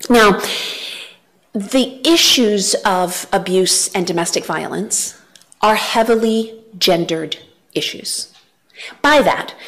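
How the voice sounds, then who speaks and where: A woman in her thirties giving an earnest church talk from a lectern, gesturing as she speaks, on a headset mic. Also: medium pitch. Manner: earnest, animated gestures, varied emphasis.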